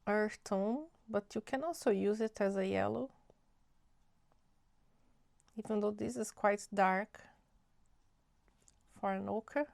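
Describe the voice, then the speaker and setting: A young woman talks slowly through a relaxed painting tutorial, her voice nasal from a cold and edged with vocal fry. She thinks aloud in a chatty, easygoing way. The recording is close and dry. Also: medium pitch, dry recording. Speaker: art channel host. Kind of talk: casual watercolor swatching tutorial.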